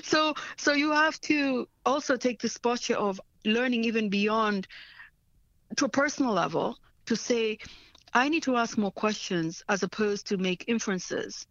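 A woman's voice: a little high, unhurried, a bit bright, dry recording, lo-fi sound. A woman from Africa, a nonprofit leader, answering interview questions earnestly and often checking in with "right?".

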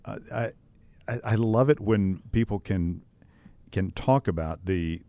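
A man's voice: on the low side, a little slow, warm tone, no room sound. A man hosting an interview, asking questions with fillers and affirming his guest. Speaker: podcast host. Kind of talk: conversational interview.

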